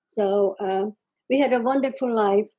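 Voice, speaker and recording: A woman's voice: mid-range voice, a little slow, warm tone. An elderly woman from Czechoslovakia, speaking plainly as an interview guest and often ending sentences with "okay?". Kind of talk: conversational testimony.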